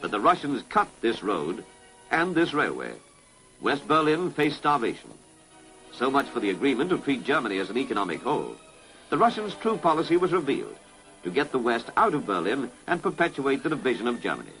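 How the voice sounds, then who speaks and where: A man's voice: mid-range voice, huge pitch swings, a little fast, rough recording. A British man narrating a 1960s public information film in a formal, authoritative way. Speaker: documentary narrator. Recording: vintage 1960s film soundtrack, music behind.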